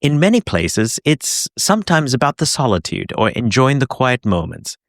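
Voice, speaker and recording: A man's voice: mid-range voice, very animated, normal speed, no echo, clean studio sound. A man narrating a podcast episode, telling personal holiday stories in a reflective way. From Hong Kong. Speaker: podcast host. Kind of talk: scripted narration.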